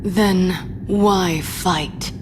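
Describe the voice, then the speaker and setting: Very slow, haughty dark villainess. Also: female voice, on the low side, steady tone, background noise. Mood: taunting. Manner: slow, grandiose, taunting. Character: haughty, menacing sorceress-like villain serving darkness and doom. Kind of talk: scripted video game drama acting. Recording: music under the voice.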